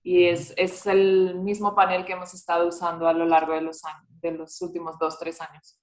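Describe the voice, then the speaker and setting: Flat, low woman in an echoey room. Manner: measured, careful answers. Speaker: hotel data analyst, guest expert. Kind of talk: answering questions in a webinar. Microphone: video call.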